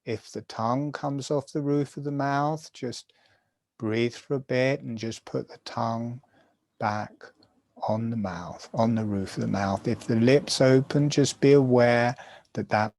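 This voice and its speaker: Man, relaxation instructor, guided breathing exercise, soft soothing delivery, very slow, dry close sound. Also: medium pitch, no room sound. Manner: slow, soft, soothing guidance in short phrases.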